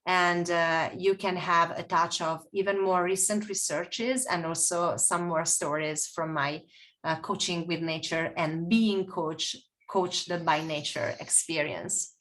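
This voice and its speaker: A woman presenting a webinar as a nature coach, talking hesitantly with many pauses and fillers over a video call. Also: on the low side, fairly even intonation, fairly bright tone. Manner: hesitant, many fillers, non-native phrasing.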